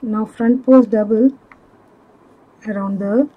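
A woman giving step-by-step craft instructions in a measured, halting manner, as a tutorial instructor. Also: on the high side, fairly even intonation, highs cut off. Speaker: crochet instructor.

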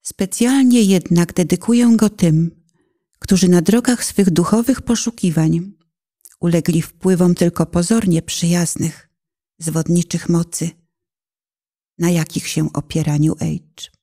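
A woman narrates a personal testimony from a script. Her voice sits on the low side and has a dark, mellow tone. She phrases each thought solemnly and deliberately. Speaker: author and narrator.

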